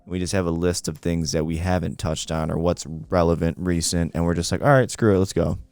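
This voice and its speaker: A man's voice: low voice, fairly even intonation, a little fast, vocal fry, a little mellow, smooth, no room sound. A man co-hosting a podcast in casual, unscripted chat, informal and agreeable. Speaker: podcast co-host.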